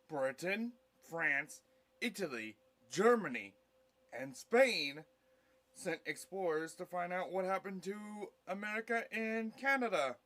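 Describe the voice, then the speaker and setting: Male audio play narrator: slightly high pitch, unhurried, halting scripted read. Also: fairly bright tone.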